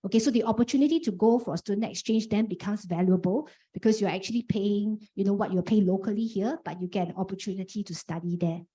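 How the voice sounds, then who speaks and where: Woman, briefing presenter, warm mellow tone, explanatory. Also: mid-range voice, fast, few pauses. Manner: frequent "okay" fillers, explanatory. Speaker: programme presenter. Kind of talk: information briefing.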